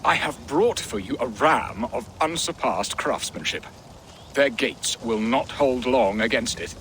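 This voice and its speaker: An actor playing a weary, loyal middle-aged Saxon ally in a video game. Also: male voice, mid-range voice, expressive, a little slow, a bit bright, a little hiss or hum, low-quality recording. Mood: fond, measured. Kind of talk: video game cutscene acting.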